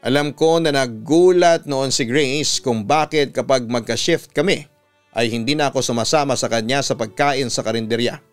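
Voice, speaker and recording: A man's voice: medium pitch, normal speed, clear, resonant tone. A Filipino man who works as a radio announcer, reading out a listener's story as dramatic scripted narration. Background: music behind the voice.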